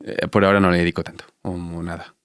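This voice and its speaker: Low-voiced Andalusian man, clear and dry. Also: flat delivery, no echo. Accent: Andalusian Spanish. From Spain. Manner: reflective, halting with many fillers. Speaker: dentist guest. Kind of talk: relaxed interview answers.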